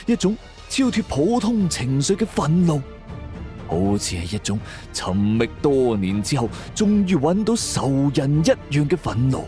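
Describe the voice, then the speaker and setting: A man's voice: mid-range voice, a little mellow. A man narrates a scripted crime and ghost story in a suspenseful, theatrical way. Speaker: audio drama narrator. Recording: music bed under the voice. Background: background music.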